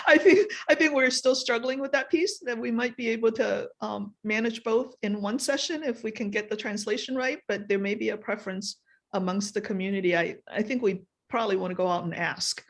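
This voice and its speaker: Woman, committee chair, mid-pitched, hesitant, self-repeating. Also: a little fast. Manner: hesitant, repeats words while thinking. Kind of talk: chairing a public meeting.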